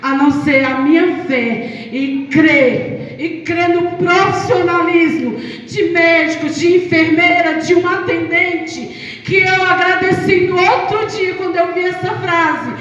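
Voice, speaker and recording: A woman's voice: very high voice, steady tone, slow pace, a bit bright, highs cut off. A middle-aged woman, giving an impassioned testimonial speech at a public health event in a large hall. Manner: impassioned, testimonial, raising voice at peaks. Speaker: health council member. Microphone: handheld mic, filmed from far off through speakers. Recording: echoey hall, far from camera.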